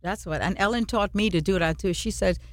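A woman from Trinidad and Tobago hosting a radio show, chatting warmly and telling stories to draw out her guest. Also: mid-range voice, a little fast, no room sound, clean studio sound. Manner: chatty, storytelling, encouraging. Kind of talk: casual radio interview.

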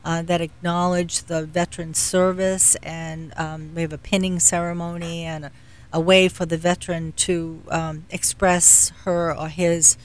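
A middle-aged woman speaking as an interview guest, explaining things in a measured way and pausing to search for words. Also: fairly low pitch, flat delivery, smooth. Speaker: guest, philanthropy executive. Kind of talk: explanatory radio interview talk.

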